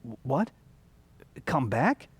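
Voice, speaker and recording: A man playing a restless, eager young small-town man in a radio drama, earnest and halting when flustered. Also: mid-range voice, very animated, slightly rough, no echo, exaggerated voice. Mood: flustered, apologetic.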